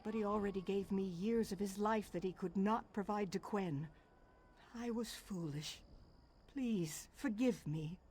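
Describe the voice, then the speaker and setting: A woman's voice: mid-range voice, unhurried, darkish tone, slight background noise, lightly acted. A woman playing a lonely, remorseful middle-aged noblewoman in a video game, pleading in formal speech. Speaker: game character. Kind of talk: dramatic game dialogue.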